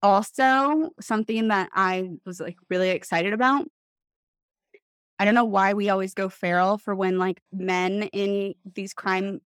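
A woman author in casual podcast chat, telling things in an animated way with lots of "like" and acted-out quotes. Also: medium pitch, a little slow, clear, resonant tone, no room sound. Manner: animated storytelling, acts out imagined quotes, heavy use of "like". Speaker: author guest on a book podcast.

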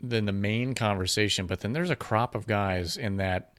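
Low-voiced man co-hosting a boxing podcast. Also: moderate pace, a bit bright, smooth, dry recording. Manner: promotional, plugs sponsors and events. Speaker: podcast co-host. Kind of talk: casual podcast chat. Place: podcast room in a boxing gym. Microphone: studio mic with headphones.

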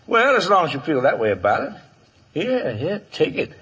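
An actor playing a cocky, taunting outlaw gunslinger, breezy and mocking, in a 1950s Western radio drama. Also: male voice, on the high side, wildly swooping pitch, unhurried, fairly bright tone, slightly rough, full character voice. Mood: amused. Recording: vintage 1950s radio broadcast.

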